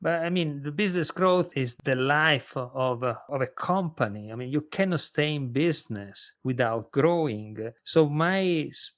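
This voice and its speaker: A man in his fifties with an Italian accent, speaking as a podcast guest and pausing often with fillers as he talks. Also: medium pitch, dry recording, dull audio. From Italy. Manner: hesitant, frequent uh fillers and repeats. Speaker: guest, sales consultant. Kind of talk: podcast interview.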